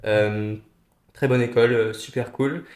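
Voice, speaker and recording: A man in his twenties, a guest in a casual round-table chat, relaxed and joking, with many fillers. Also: mid-range voice, unhurried. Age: 20s. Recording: echoey room.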